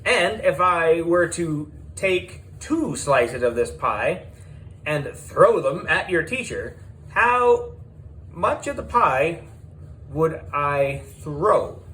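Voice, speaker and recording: Storybook clown voiced by a man: exuberant, teacherly showman, very animated, slow and drawn-out. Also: a little high, a little hiss or hum, full character voice, rough recording. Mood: showy, playful. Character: Brownie, exuberant clown quizzing kids on fractions.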